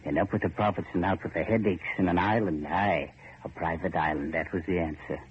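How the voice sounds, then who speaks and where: A man's voice: low-pitched, fairly even intonation, fairly quick, natural voice. An actor playing a grim, cryptic older boatman in old radio horror style, speaking in folksy, clipped phrases. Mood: intense, bitter. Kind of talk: 1940s radio horror acting. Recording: noisy muffled old radio recording.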